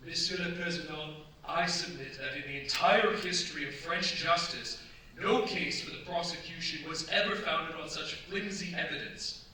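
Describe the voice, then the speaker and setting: A man's voice: a little high, moderate pace, sharp tone. A man playing an earnest young defence lawyer with formal, oratorical delivery in a staged radio play. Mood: formal, impassioned. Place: staged performance in a cultural centre. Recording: echoey hall, distant mic, stage noise.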